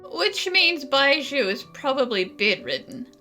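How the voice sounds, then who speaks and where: A woman's voice: sits high, wildly swooping pitch, measured pace, sharp tone, soft background music. A woman giving casual let's play commentary in a blunt, wry way. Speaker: gaming streamer.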